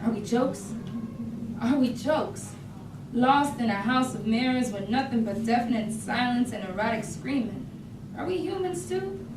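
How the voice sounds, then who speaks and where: A woman's voice: on the high side, lively intonation, a little slow. A woman acting a poetic, searching and defiant character in a staged reading of a play. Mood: questioning, indignant. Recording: echoey, distant, noisy live stage recording.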